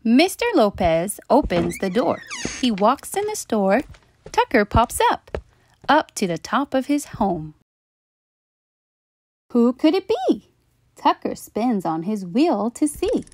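A woman reading a children's story aloud with expressive, deliberate, emphatic phrasing. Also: on the high side, no echo.

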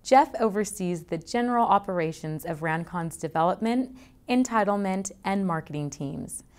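A woman in her thirties hosts a business interview, her voice sitting a little low as she asks polite, brisk questions. She is recorded indoors in an office on a clip-on mic. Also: lively intonation, darkish tone, clean tone. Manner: polite, scripted intro then brisk questions. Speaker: host. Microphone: lapel mic.

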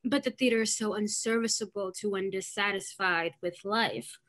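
A young woman playing an arch, quick-witted young lady of a period stage comedy, defiant about marriage. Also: medium pitch, a bit bright, close to an everyday voice. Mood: scornful, witty. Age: young adult. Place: indoors, on a video call.

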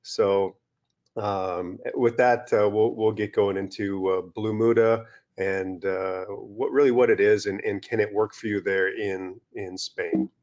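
A middle-aged man from Western Canada giving a relaxed webinar lecture over slides from a small room, with many fillers and restarts. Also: fairly low pitch, a little slow. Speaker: turfgrass scientist presenting a webinar. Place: small indoor room, video call. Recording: dull lo-fi video-call audio.

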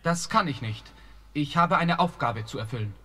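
A brave young prince in a storybook radio play, voiced by a man who keeps it earnest and resolute. His voice sits a little high and has a husky grain to it. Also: lively intonation, normal speed, pushed and bright. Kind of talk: German fairy-tale radio play.

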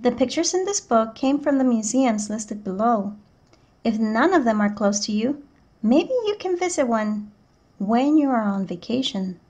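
High-voiced woman reading a children's book. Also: expressive, measured pace, darkish tone. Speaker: teacher reading a picture book aloud. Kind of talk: children's read-aloud narration.

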